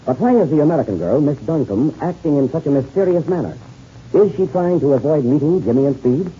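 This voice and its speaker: A man's voice: on the high side, expressive, fairly quick, a little hiss or hum. A man announcing an old-time radio serial in dramatic scripted narration. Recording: muffled old radio recording.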